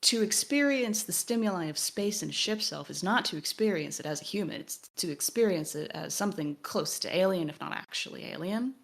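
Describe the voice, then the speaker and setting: A woman's voice: lowish voice, expressive, a little fast, creaky, hardly any pauses. A woman co-hosting a podcast, discussing a game analytically in a thoughtful, academic way. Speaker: podcast co-host.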